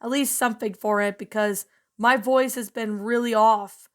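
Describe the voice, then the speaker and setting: A woman whose voice is hoarse from a cold, sitting a little high in pitch. She hosts her own channel and talks through a casual review in a loose, rambling way, full of fillers and restarts, staying upbeat. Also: fairly even intonation, moderate pace. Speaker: channel host reviewing a story.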